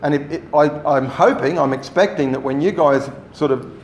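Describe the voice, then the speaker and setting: A man running a training workshop, giving relaxed, rambling instructions to the group. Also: fairly high pitch, moderate pace. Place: meeting room. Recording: echoey room, faint noise.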